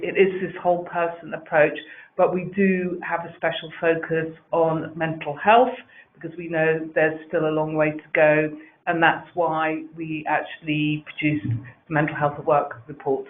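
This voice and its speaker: A woman's voice: lowish voice, little pitch movement, unhurried, a little hiss or hum. Woman explaining a workplace report on a video call, earnest, lots of ums, tinny sound. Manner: earnest, fluent, frequent ums. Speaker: wellbeing director. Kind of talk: webinar presentation. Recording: tinny webinar audio.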